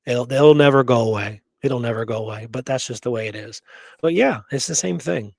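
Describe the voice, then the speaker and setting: A man's voice: mid-range voice, brisk, vocal fry, darkish tone, no echo, clean studio sound. A man hosting a casual history discussion, opinionated and emphatic, repeating words for stress. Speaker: host of a history talk show.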